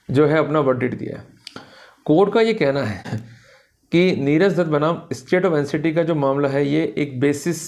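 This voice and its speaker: A man's voice: on the high side, creaky, a little mellow. A man with an Indian accent giving an explanatory law lecture, addressing his listeners directly in an emphatic, didactic way and mixing in English legal terms.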